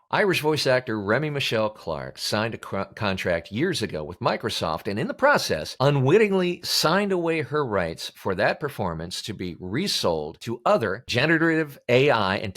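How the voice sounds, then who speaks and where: American male instructor, lower register, very varied intonation, emphatic scripted explainer delivery. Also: a bit bright. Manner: emphatic, punchy delivery with pointed pauses. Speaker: voice actor teaching about auditions. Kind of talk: scripted instructional video narration.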